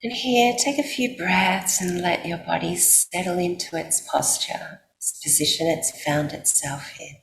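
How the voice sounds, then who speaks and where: A woman with an Australian accent guides a sleep meditation in a soothing, unhurried way, leaving long pauses. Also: fairly low pitch, vocal fry. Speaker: yoga nidra guide. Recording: roomy echo, faint background noise.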